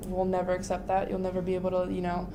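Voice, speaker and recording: A woman's voice: on the low side, completely flat delivery, fast talker, noisy background. A woman in her early twenties giving personal testimony in an interview to camera. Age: early 20s. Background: music.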